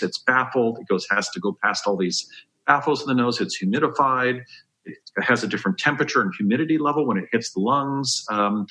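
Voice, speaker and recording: A man's voice: mid-range voice, sharp tone, rough recording. A man giving health advice as a dentist on a podcast, explaining step by step in an emphatic, conversational way.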